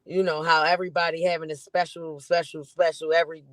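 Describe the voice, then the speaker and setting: Relaxed woman comedian, dry close sound. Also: sits low, fast talker, dry recording, low-quality recording. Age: 40s. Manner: laid-back, playful, trailing off. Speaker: comedian guest. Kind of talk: casual chat.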